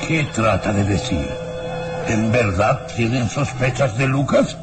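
A man's voice: on the low side, measured pace, lightly acted. An actor playing an imperious, furious old nobleman in a radio serial, with theatrical delivery. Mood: stern, imperious. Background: dramatic music.

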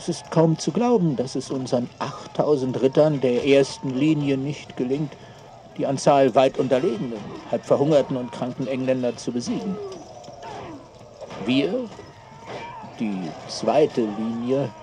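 An actor playing a grave French knight of the second battle line in a radio drama. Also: male voice, mid-range voice, unhurried, dark tone, a little hiss or hum, natural voice. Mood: grave resolve. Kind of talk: radio drama war speech.

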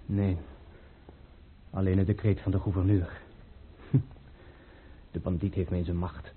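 An actor playing a proud, suspicious crown prince in a radio drama, speaking formally and indignantly. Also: male voice, sits low, little pitch movement, a little slow, a little hiss or hum. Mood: bitter, indignant. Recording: muffled, phone-like old radio play recording.

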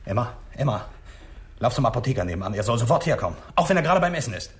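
An actor playing an edgy, irritable doctor in a 1960s radio crime drama. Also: male voice, medium pitch, very animated, rapid-fire, lightly acted. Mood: alarmed, urgent. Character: fussy, nervous, ailing doctor without a practice. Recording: faint room noise, old radio recording.

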